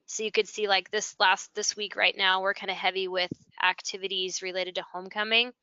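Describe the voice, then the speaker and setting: An American woman with a bright, clear voice talks in a conversational, explanatory way, walking listeners through examples. Her intonation stays fairly flat, and the close, dry audio sounds like an online presentation. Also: mid-range voice, fairly quick, no room sound, highs cut off. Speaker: school technology integration specialist, webinar guest. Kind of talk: conversational software walkthrough.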